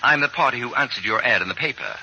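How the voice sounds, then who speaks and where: An actor playing a pompous, fussy genius of a live-in sitter in 1940s American radio comedy, with precise, formal, disdainful diction. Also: male voice, medium pitch, lively intonation, quick pace, bright, forceful tone, dull audio. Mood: haughty disdain. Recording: vintage radio broadcast, faint noise.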